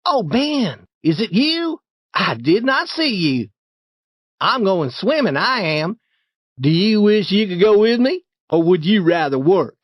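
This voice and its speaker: A man's voice: high voice, big pitch swings, measured pace, a bit bright, dry recording, clean studio sound, pitched above the performer's own voice, exaggerated voice. A man voicing a clever young boy who coaxes and boasts in a storybook reading. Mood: sly. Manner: coaxing, boastful.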